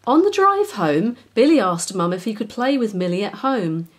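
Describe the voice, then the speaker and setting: A woman's voice: medium pitch, very animated, normal speed. A woman narrating a children's story expressively as its author.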